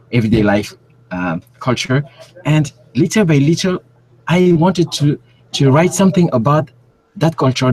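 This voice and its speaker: A man's voice: medium pitch, a little mellow, a little hiss or hum, rough recording. A man from Cameroon, a guest researcher speaking on a remote call, who often stops to search for words. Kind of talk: interview on a call.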